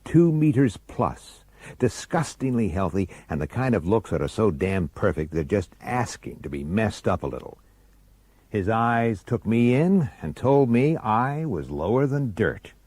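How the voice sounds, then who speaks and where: An actor playing a hard-bitten, resentful police detective who narrates in a gruff, sardonic, irritable way in a radio drama. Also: male voice, lowish voice, very animated, unhurried, a little mellow, no echo. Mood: uneasy, bitter. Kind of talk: radio drama acting with first-person noir narration.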